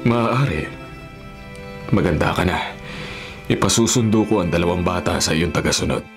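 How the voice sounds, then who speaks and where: An actor playing a devout, forgiving governor and loving son in a Filipino radio drama, speaking solemnly in formal diction. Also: male voice, low voice, moderate pace. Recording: radio drama with music underneath.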